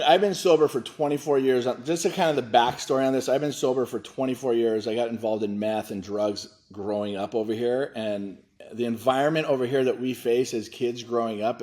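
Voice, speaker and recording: A man in his forties from Hawaii talks over a video call, earnest and halting. His voice sits at an ordinary middle pitch, and he often restarts his sentences as he gives his story. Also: darkish tone. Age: 40s. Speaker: nonprofit foundation director, guest. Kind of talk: candid interview talk.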